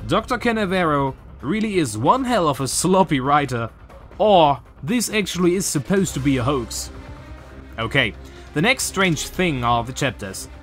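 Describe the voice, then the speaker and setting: A young man in his twenties with a German accent, earnestly talking through a game theory from his bedroom, with a few filler pauses. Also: on the high side, lively intonation, a bit bright, faint music behind. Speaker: YouTuber. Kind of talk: game theory video commentary. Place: small bedroom with shelves, indoors.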